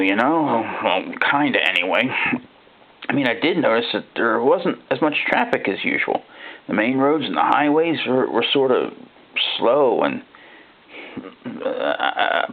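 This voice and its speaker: A man narrating a first-person horror story in a folksy, halting, conversational way, like an ordinary guy confiding. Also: mid-range voice, sing-song. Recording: thin phone-like band-limited sound.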